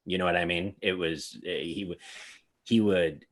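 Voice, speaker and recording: A man's voice: sits low, normal speed. A man co-hosting a magic review show, chatting casually and rambling through anecdotes with many restarts. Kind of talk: casual panel chat.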